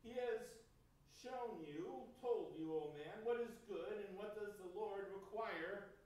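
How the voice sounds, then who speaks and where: A man's voice: high-pitched, takes it slowly, a little mellow. A man preaching a sermon to a congregation in a plain-spoken, conversational way, using short, simple phrases. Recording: roomy echo, distant mic, faint noise.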